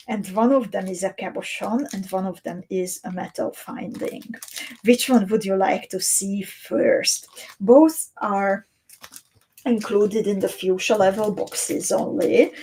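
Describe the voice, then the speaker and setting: A woman talks slowly and unhurriedly as she hosts a live craft stream. Her voice is mellow and soft-edged, and she is chatty and descriptive, greeting her viewers by name. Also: mid-range voice, poor audio. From Hungary or Slovakia. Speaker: host of a beading livestream. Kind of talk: live-stream chat, showing beads and reading viewer comments.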